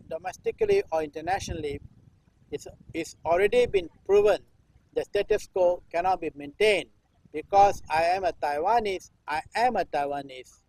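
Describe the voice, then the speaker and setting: A man in his sixties from Singapore gives a live performance-art recitation. He declaims a read text in a halting way, restarting clipped words and breaking it up again and again with a repeated chanted refrain. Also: sits high, darkish tone, no echo, low-quality recording. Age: 60s. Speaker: performance artist.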